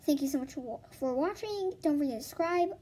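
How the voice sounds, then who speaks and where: A female host reading a children's book aloud in an expressive, informal way, correcting herself and adding casual asides. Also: high-pitched, fast talker, slight background noise. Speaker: video host.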